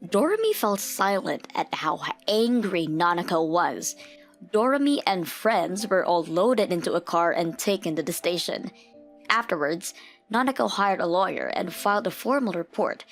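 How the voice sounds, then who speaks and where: A woman's voice: lowish voice, expressive, normal speed, soft background music. A woman reading scripted story narration for a manga dub.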